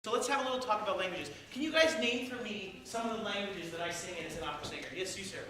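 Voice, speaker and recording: A man's voice: sits high, rapid-fire, pushed and bright, slight background noise. A male opera singer teaching a class of young listeners in a playful, encouraging way, often asking them questions. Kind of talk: lively talk to a young audience. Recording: echoey hall from far off, piano.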